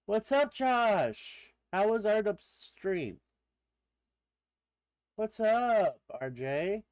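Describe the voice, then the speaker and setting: A man's voice: high-pitched, animated, very slow, a little mellow, clean tone, no echo. Stuffed-up man chatting with his stream chat. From Texas. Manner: rambling, greets chatters by name. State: stuffed-up nose. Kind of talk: casual live-stream chat.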